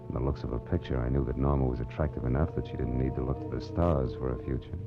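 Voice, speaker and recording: An actor playing a wry, weary hardboiled private detective in a deadpan 1940s radio noir style. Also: male voice, rumbling low, quick pace, creaky. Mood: brooding, wry. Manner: deadpan, world-weary, sardonic. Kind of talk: 1940s radio noir acting and first-person narration. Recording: dull old radio recording with loud music.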